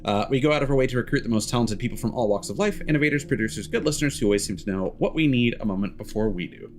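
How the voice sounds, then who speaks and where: A man's voice: medium pitch, rapid-fire, a little hiss or hum. A man streaming a game, reading text aloud and adding cheeky, sardonic asides. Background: music.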